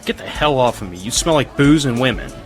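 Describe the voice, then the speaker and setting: An actor playing a stern, gruff army major who speaks in curt orders in an audio drama. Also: male voice, medium pitch, expressive, a little fast, lightly acted. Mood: angry. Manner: barking orders, curt military speech. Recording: music behind the voice.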